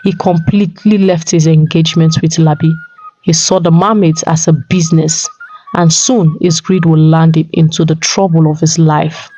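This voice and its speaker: A woman's voice: deep voice, fairly even intonation, normal speed, mellow, rough recording. A woman storyteller reading a scripted folktale narration. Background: music.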